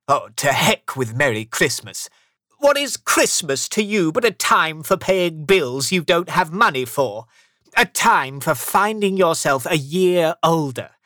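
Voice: mid-range voice, fairly bright tone, no room sound, clean studio sound, pitched above the performer's own voice. A narrator voicing a grumpy, miserly old businessman from a storybook, snapping scornfully and emphatically.

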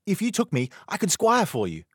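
Man doing a cheeky stable boy, high and eager, storybook read. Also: wildly swooping pitch, brisk, slightly rough, dry recording, studio quality, heavily acted. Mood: pleading. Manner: eager, talks back. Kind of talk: audiobook character voice.

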